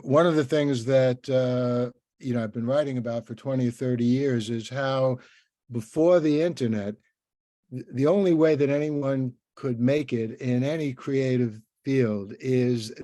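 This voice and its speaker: A man's voice: medium pitch, warm tone, no room sound. An older man conducting a conversational interview, rambling and hesitant as he repeats words and muses.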